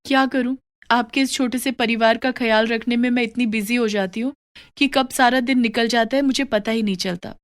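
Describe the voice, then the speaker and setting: A woman's voice: a little high, fairly even intonation, normal speed, pitched above the performer's own voice, lightly acted. A woman voicing an overworked young wife in a moral story, plaintive and polite. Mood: gentle, concerned. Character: overworked young daughter-in-law, weary but dutiful wife. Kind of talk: moral story character dialogue.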